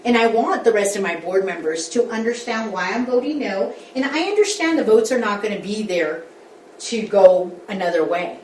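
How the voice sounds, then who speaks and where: A woman's voice: medium pitch, lively intonation, moderate pace, a little mellow, a little hiss or hum. A woman school board member speaking candidly, coaching colleagues through a group workshop in a meeting room. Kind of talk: workshop discussion. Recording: echoey room, distant mic.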